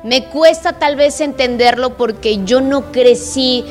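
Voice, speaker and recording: Mexican woman, slightly high voice, over music. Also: takes it slowly, darkish tone. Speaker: sports talk show panelist. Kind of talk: casual sports panel debate.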